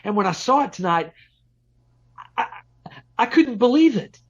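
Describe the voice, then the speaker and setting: Dull, lo-fi audio of a man acting an eager young suitor in a 1940s-style radio romance. His husky voice swoops up and down as he pleads earnestly and stammers. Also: high voice, big pitch swings, moderate pace. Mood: eager, pleading. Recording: dull lo-fi remote call audio.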